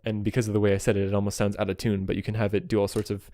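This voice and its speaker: Casting: man with a lowish, close dry voice, an enthusiastic hobby synthesist chatting informally and apologizing as he rambles. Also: flat delivery, rapid-fire, mellow, no room sound. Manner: self-deprecating, apologetic, chuckles often. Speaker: amateur synthesist demonstrating gear. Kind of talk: casual rambling demonstration chat to a friend. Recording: music behind, occasionally overloaded mic. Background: synthesizer tones.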